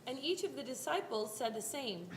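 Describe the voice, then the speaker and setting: A woman's voice: high-pitched, sing-song, moderate pace. A woman narrating a scripted scripture story as part of a reader's theater performance. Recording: echoey room, faint background noise.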